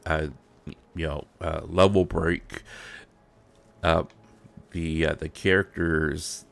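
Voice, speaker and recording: Stuffy-nosed guy streaming games, deep voice, slow, lots of ums and restarts. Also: level tone, creaky, a bit bright. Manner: halting, full of fillers and restarts. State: congested from allergies. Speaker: streamer host. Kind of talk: casual gaming stream chat.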